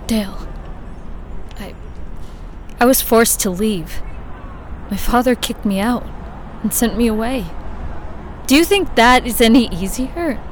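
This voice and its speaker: A woman's voice: fairly high pitch, a little hiss or hum, natural voice. A woman playing a hurt, defensive young woman who speaks in a defiant way.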